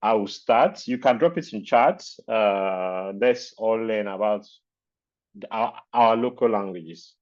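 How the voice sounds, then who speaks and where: A man's voice: lowish voice, takes it slowly, darkish tone. A man with a Nigerian accent, a native Yoruba speaker, hosting a webinar in casual chat, hesitant with many fillers and restarts. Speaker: webinar host. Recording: dull lo-fi video call audio.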